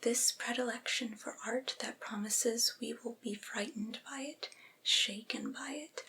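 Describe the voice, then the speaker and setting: A woman reading a literary essay aloud for ASMR in a breathy, unvoiced whisper, with hushed, careful phrasing. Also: a little high, rough recording.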